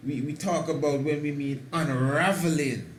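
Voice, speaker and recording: A man hosting a talk, speaking slowly and emphatically and building to excited declarations. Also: a little high. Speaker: host. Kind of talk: preaching talk. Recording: roomy echo with faint noise.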